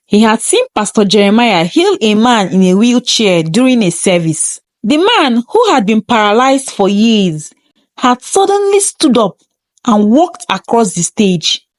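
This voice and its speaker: A woman's voice: fairly high pitch, dry recording. A woman reading a scripted first-person story in a dramatic, suspenseful manner.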